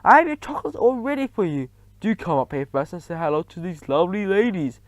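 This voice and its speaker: A man's voice: a little high, expressive, moderate pace, dry recording, lightly acted. A man reading a storybook aloud, voicing a cruel, commanding female witch leader who barks and screeches. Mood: syrupy. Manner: theatrical, barking and screeching.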